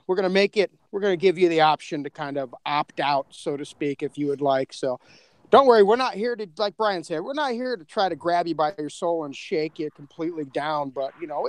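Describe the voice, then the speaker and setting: A man with a fairly high voice hosts a casual group podcast. He speaks in a chatty, slangy way. The sound is very dry, with no room to it. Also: no room sound. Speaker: podcast host and co-creator. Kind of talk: casual group chat about a horror podcast.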